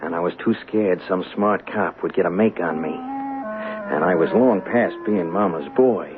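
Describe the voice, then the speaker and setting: An actor playing a young small-time hustler, weary and defensive, confessing in colloquial, hesitant radio drama style. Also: male voice, low voice, a little slow. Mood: grim, resigned. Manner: colloquial, hesitant, self-justifying. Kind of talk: radio drama acting, first-person confession. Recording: muffled, noisy old radio drama broadcast.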